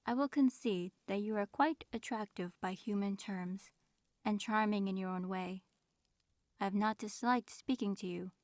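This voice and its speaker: A woman playing a proud, reserved dragon in human form, speaking formally and with measured aloofness in an audio roleplay. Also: mid-range voice, darkish tone, no echo, clean studio sound, lightly acted. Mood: aloof, reserved. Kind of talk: audio roleplay acting, addressing the listener.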